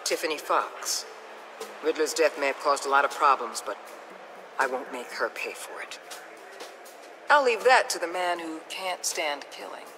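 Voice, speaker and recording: A woman's voice: deep voice, lively intonation, a little slow, pauses often, over music. A woman playing a hard-nosed government agency chief in a video game, blunt, authoritative and combative. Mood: measured, grave. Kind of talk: dramatic video game acting.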